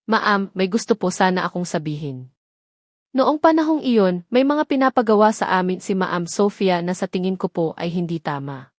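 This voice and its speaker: A woman's voice: on the low side, lively intonation, moderate pace, a little mellow, dry recording, studio quality. A woman voicing a timid, respectful female ex-employee in an audio drama, deferential and hesitant. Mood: confessing.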